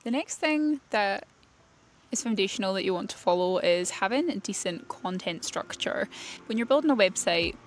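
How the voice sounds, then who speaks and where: A woman's voice: medium pitch, expressive, moderate pace, a little hiss or hum. A woman presenting an informal instructional explainer in a plain-spoken, explanatory way. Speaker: presenter of a website tutorial series for writers.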